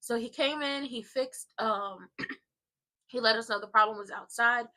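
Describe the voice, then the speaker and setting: A congested woman chats loosely and rambles to her live-stream audience from home. Her voice is bright and forward, and her tone stays casual and conversational throughout. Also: a little high, a little slow. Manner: rambling and chatty, addresses viewers directly. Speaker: live-stream host of a soap watchalong.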